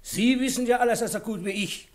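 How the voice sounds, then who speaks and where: A man's voice: very high voice, fairly even intonation, fairly quick. An actor playing an outspoken, indignant village man in a radio drama, blunt and reproachful. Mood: defiant. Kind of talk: 1960s German radio drama acting.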